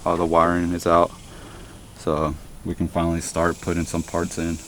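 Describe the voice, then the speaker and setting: A young man with a deep voice in a casual vlog, talking plainly while working on a truck engine outdoors. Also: monotone, normal speed, heavy background noise. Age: young adult. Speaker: car vlogger. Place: in a pickup cab and outdoors at an engine bay.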